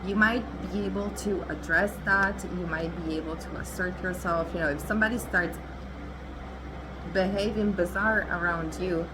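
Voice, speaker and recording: A young adult woman, a native Italian speaker, gives a candid, chatty tarot reading in a voice pitched low for a woman. She talks assertively and laughs easily. Steady traffic noise runs clearly behind her. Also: moderate pace. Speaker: tarot reader.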